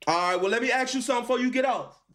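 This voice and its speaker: Male live-stream host, high register, casual and encouraging, heavy slang. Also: rapid-fire, a bit bright. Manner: encouraging, slangy, repeats phrases for emphasis. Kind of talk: casual chat with a caller.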